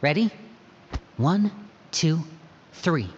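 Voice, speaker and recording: A man playing a protective, composed young older brother in a video game, speaking in an earnest, measured, theatrical way. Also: a little high, lively intonation, a little mellow, dull audio, a little hiss or hum. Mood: resolute, scheming.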